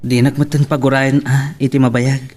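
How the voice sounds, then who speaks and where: A man's voice: medium pitch, flat delivery, normal speed, a little mellow, lightly acted. A man with an Ilocano accent playing an eager young suitor in an audio drama, recorded at a distance. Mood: pleading. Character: eager lovestruck young man courting a woman.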